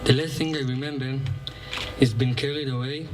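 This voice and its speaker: A man's voice: medium pitch, steady tone, moderate pace. A young man giving a first-person witness account of events. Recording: distant mic, noisy ambience.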